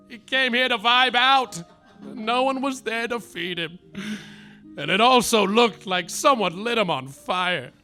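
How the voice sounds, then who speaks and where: An actor playing a hapless hard-boiled private detective who narrates in deadpan noir style with melodramatic outbursts, in a live comedy radio play. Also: male voice, very high-pitched, exaggerated voice.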